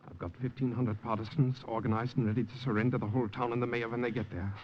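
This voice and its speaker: An actor playing a confident, insistent partisan leader in a 1950s radio drama, speaking with brisk assurance. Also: male voice, medium pitch, little pitch movement, lightly acted. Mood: urgent, assured. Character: urgent, confident partisan leader rallying a rescued spy. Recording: muffled noisy vintage radio recording.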